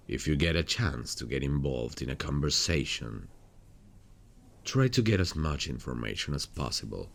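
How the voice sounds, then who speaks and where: A man playing a weary, haunted detective in an intimate ASMR roleplay, speaking to a partner softly and without hurry. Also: rumbling low, creaky, exaggerated voice. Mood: focused, guiding. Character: weary, haunted male detective hunting a serial killer.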